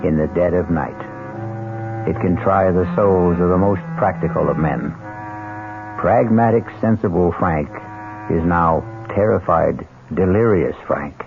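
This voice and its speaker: Very deep, gravelly male mystery narrator. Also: phone-quality sound. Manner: deliberate, ominous. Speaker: host and narrator of a mystery show. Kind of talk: dramatic scripted narration, 1970s radio. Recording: thin old radio broadcast. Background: music.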